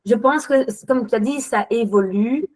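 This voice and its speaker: A woman's voice: a little high, a little slow, a little mellow. A woman in her thirties, a webinar guest telling her own work experiences, talking hesitantly with many restarts and tangents. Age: 30s. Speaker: webinar guest, account manager. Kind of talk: spontaneous testimony.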